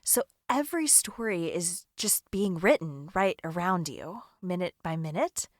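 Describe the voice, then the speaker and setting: A woman's voice: lowish voice, expressive, a little slow, dry recording, clean studio sound. An actress playing a curious, playfully skeptical human woman in an audio drama, rambling and wry in a naturalistic way. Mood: puzzled, rambling.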